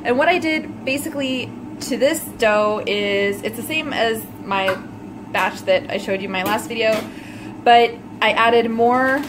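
A woman in her thirties chatting casually as she shows a recipe in her small home kitchen, self-deprecating and quick to laugh, recorded on a phone camera held close. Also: medium pitch, noisy background. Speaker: cooking vlogger. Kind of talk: casual cooking vlog chat.

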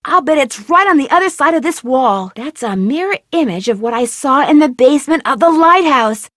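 A woman's voice: high-pitched, animated, fairly bright tone, dry recording, studio quality. An actress voicing a curious, upbeat young female detective, chirpily talking to herself in a video game. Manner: chirpy self-talk, quick remarks to herself. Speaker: game protagonist.

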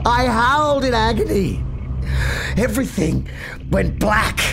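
A man narrating a cosmic horror story in the first person, hushed and tense, with a gravelly edge. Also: high voice. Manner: tense, hushed, building to anguished cries. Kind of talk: dramatic horror audiobook narration. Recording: narration over eerie music.

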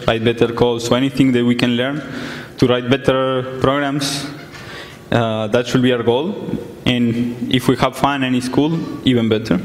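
A young man from Argentina presents at a tech conference, talking in a relaxed, plain way with frequent ums and uhs. His voice sits at a middle pitch. The sound carries a long echo from the large hall, with a faint hum of the room behind it. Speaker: conference speaker. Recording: echoey hall, some distance from mic.

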